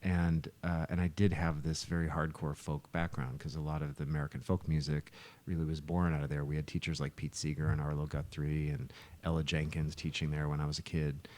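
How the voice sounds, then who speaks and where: Very deep-voiced middle-aged man telling stories. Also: little pitch movement, a little fast, hardly any pauses, darkish tone. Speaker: musician and podcast co-host. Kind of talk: casual podcast storytelling. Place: small home living room.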